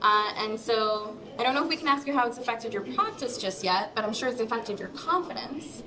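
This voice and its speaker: A woman hosting a casual interview at a crowded awards reception, upbeat and quick to agree. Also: a little high, animated, low-quality recording. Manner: upbeat, quick affirmations, laughs often. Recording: crowd chatter behind.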